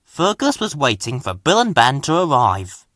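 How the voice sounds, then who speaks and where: A man reading a scripted children's story aloud with expressive, dramatic narration. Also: mid-range voice, moderate pace, dry recording, studio quality. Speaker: storybook reader.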